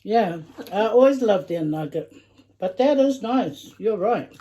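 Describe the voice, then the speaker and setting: Giggly mellow-voiced middle-aged woman. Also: medium pitch, animated, unhurried. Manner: chatty, giggly, talks while chewing. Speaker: mukbang vlogger, mother. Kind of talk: casual chat while eating.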